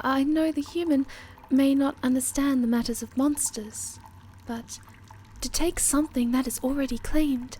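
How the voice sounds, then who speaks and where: High-voiced, timid little moth girl played by a woman. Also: darkish tone, a little hiss or hum, close to an everyday voice. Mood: nervous warning. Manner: hesitant, earnest, pleading, stammering. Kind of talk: ASMR roleplay acting.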